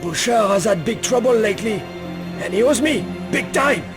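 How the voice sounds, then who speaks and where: A man's voice: high-pitched, expressive, moderate pace, over music. A man playing a surly, suspicious old man in a video game, irritable, dismissive and grudging. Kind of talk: video game cutscene voice acting.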